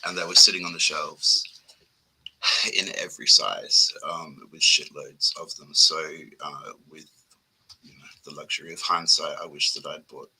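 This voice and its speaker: A man with an Australian accent and a very deep, low voice, talking about his sneakers in a relaxed, laid-back way on a livestream call. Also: measured pace, husky, low-quality recording. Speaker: sneaker collector guest. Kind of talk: casual livestream chat.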